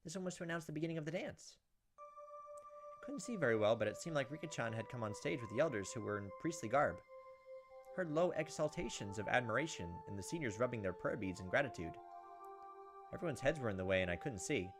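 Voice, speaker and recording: Guy doing a let's play, reads story text fast with crude joking asides, game music behind. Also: mid-range voice, lively intonation. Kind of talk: reading a visual novel aloud with casual asides.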